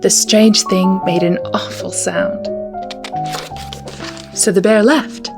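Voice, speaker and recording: A woman's voice: mid-range voice, fairly even intonation, takes it slowly. Woman reading a storybook, music behind. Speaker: children's librarian hosting storytime. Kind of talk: read-aloud picture book storytelling for children.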